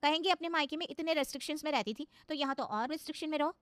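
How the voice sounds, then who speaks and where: A woman's voice: sits high, very fast, a bit bright, dry recording, voice pushed up. A woman voicing a worried young daughter who complains to her family in a cartoon story. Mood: complaining.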